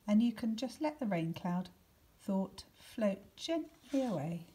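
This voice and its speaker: A woman reading a children's picture book aloud, with deliberate, expressive emphasis and some hushed words. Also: mid-range voice. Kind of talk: expressive scripted storybook narration for children.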